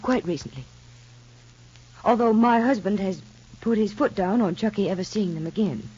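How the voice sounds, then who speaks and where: An actress playing an anxious young mother in a radio soap opera, speaking politely and hesitantly in measured phrases. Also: medium pitch, a little mellow, lightly acted. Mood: anxious, confiding. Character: anxious young mother confiding in child psychologist. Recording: muffled, husky-sounding old radio recording.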